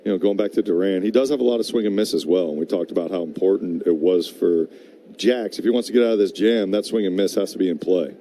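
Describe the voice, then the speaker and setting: A man's voice: deep voice, quick pace, dark tone. A man giving conversational, explanatory color commentary on a live baseball radio broadcast. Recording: faint background noise.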